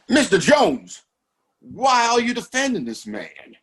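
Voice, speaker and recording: A man playing a domineering, self-righteous father who runs a Western town, in an audio drama, with formal, imperious speech and a husky voice. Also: very high voice, huge pitch swings, takes it slowly, fairly bright tone, heavily acted. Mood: stern, imperious.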